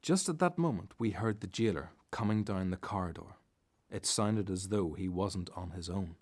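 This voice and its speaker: An actor playing a devout disciple who narrates a radio Bible drama in a reflective, scripted style. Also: male voice, low voice, animated, moderate pace, darkish tone. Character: male disciple recounting events, reflective and devout.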